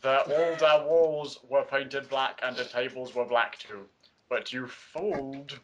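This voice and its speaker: Guy doing dramatic reading game w friends, slow n swoopy, trips on words, bit of hiss and clicks. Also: mid-range voice, steady tone, highs cut off. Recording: faint noise and clicks.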